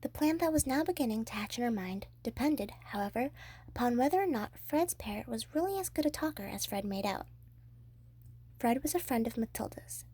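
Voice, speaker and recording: Woman, storybook narration, slightly high pitch, mellow, breathy. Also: expressive, brisk. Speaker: volunteer audiobook reader.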